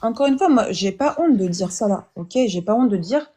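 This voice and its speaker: A woman taking part as a guest in a live online debate, speaking in a measured way and repeating herself when interrupted. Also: mid-range voice, lively intonation, warm tone, a little hiss or hum.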